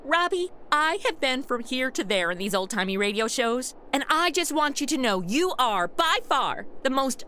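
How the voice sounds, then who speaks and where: A sassy modern young woman played by an actress in a comic radio sci-fi play. Her high voice is bright and swoops around, full of sarcasm and slang, with faint noise behind it. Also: sing-song, moderate pace, hardly any pauses, exaggerated voice. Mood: wry, chatty. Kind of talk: comedic radio drama acting.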